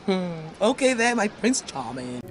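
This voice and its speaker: An actress playing a prim, hurried young Nigerian university student in a radio drama. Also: female voice, mid-range voice, sing-song. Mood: friendly.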